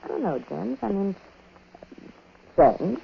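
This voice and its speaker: Husky streetwise wife, old radio. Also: female voice, medium pitch, sing-song, takes it slowly, full character voice. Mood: troubled. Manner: slangy, hesitant, brooding. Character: uneasy streetwise wife who dabbles in psychic tricks. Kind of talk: 1940s radio drama acting. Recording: muffled 1940s radio broadcast recording.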